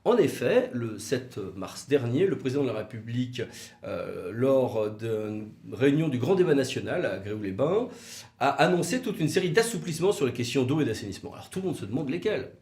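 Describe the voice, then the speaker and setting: A man presents a legal explainer in a lively, varied voice. He is didactic, leaning on key words and asking rhetorical questions. The sound is roomy and echoey. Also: medium pitch, a little fast. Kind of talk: explanatory legal video talk, semi-scripted.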